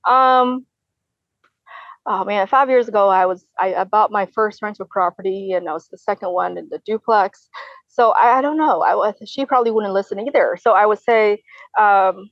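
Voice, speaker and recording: A woman's voice: mid-range voice, moderate pace, no room sound, highs cut off. A woman in her twenties answering conversationally as an interview guest, often repeating and rephrasing her phrases. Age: 20s. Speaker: podcast guest, real estate investor.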